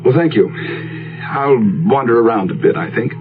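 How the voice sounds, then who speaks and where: A man's voice: mid-range voice, moderate pace, lightly acted. A man playing an earnest, polite young lord and doctor in a gothic radio drama, with formal speech. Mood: warm, polite. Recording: dull radio recording, loud music behind.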